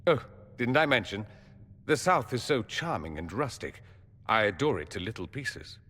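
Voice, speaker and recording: An actor playing an urbane, sardonic foreign mage in a video game, with dry, theatrical wit. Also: male voice, on the low side, big pitch swings, moderate pace, pushed and bright, heavily acted. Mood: sardonic, amused. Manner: witty, dry, theatrical sarcasm. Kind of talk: video game dialogue acting.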